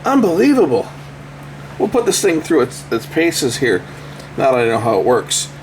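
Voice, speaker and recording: A man's voice: mid-range voice, huge pitch swings, moderate pace, slight background noise, low-quality recording. A man giving casual, unscripted repair commentary, thinking aloud as he works with his hands. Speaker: electronics repair video host.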